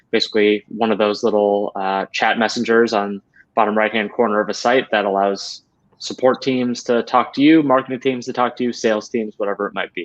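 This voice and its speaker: A man in his thirties giving conversational answers as an interview guest on a video call from a room at home. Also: lowish voice. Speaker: interview guest, head of support operations. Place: small home room, indoors. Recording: dull, lo-fi video call audio.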